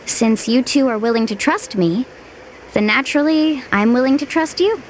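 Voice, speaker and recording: A woman's voice: high voice, moderate pace, slight background noise, natural voice. A woman voicing an upbeat, confident young woman adventurer in a video game, encouraging, casual and assured. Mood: reassuring, trusting. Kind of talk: animated video game voice acting.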